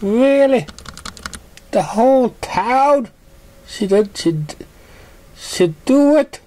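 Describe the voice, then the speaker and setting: Brief: awestruck young pegasus mare, put on by a narrator, very high, loud, gushing storybook character. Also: lively intonation, slow. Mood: amazed, thrilled. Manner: gushing, breathless amazement. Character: excitable, awestruck young female pegasus pony.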